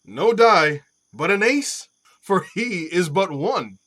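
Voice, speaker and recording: Audio-play role: teasing aristocrat, acted by a man, lightly high male voice, wry wit, classical comedy. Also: sing-song, slow pace, fairly bright tone, full character voice. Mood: dry mockery. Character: witty, mocking nobleman heckling a play. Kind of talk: Shakespearean audio play acting.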